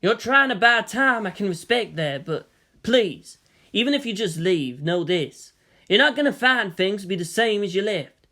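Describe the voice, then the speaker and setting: A narrator playing a weary male army general, formal and measured, who reasons and pleads firmly. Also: mid-range voice, animated, bright, forceful tone, voice pushed up. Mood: solemn, pleading. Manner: measured, official, placating.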